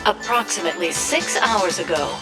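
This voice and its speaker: TV news reporter over music. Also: female voice, on the low side, expressive, moderate pace, gravelly. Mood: grave, urgent. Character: female TV news field reporter, grave and formal. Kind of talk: live news report acting.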